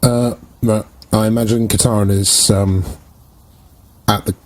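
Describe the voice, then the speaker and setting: A man's voice: on the low side, flat delivery, a little slow, a little mellow. A man playing a tabletop game, hesitantly and casually describing what his character does. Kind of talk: casual improvised roleplay narration.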